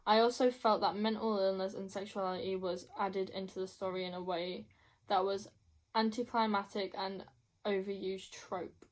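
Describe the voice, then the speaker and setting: Young female book vlogger, mid-pitched voice, casual and emphatic, given to gushing repetition. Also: fairly even intonation, normal speed. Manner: gushing, emphatic, repeats herself. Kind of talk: casual chat to camera.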